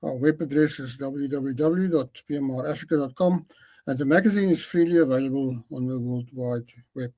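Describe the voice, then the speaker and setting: Halting, formal man hosting a podcast. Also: mid-range voice, dark tone. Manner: polite, halting with many fillers. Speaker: podcast host.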